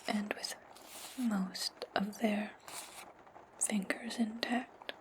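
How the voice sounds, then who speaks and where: A woman playing an imperious, scheming witch in a breathy, condescending whisper for an ASMR fantasy roleplay. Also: mid-range voice, steady tone, background noise. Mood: commanding, sly. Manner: slow, deliberate, condescending. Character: imperious, scheming witch giving orders to her servant.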